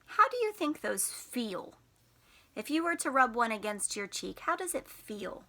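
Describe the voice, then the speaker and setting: High, bright, lively woman reading to children. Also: measured pace. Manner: sing-song, expressive, questioning young listeners. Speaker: teacher and storytime host. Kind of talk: children's picture-book read-aloud.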